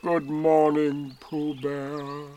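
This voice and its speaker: A man's voice: a little high, completely flat delivery, dark tone, voice pushed up. A man voicing a gloomy, self-pitying old grey donkey from a children's storybook, drawing out words with mournful sarcasm. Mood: glum, doubting. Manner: slow, drawn-out, mournful and sarcastic delivery.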